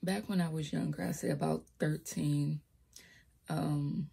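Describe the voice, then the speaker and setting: Low raspy woman, nervous and halting. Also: fairly even intonation. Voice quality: raspy, low voice that cracks when raised. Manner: hesitant and nervous, trips over words, soft whispered asides. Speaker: vlogger telling her life story. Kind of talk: casual storytime monologue. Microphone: laptop built-in mic.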